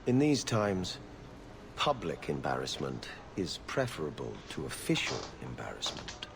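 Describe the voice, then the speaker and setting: A man's voice: a bass voice, huge pitch swings, measured pace, vocal fry, noisy background, full character voice. An actor playing a weary, haughty male court steward in a video game, speaking in a formal, clipped and disdainful way. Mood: grave, disdainful. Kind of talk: scripted video game dialogue.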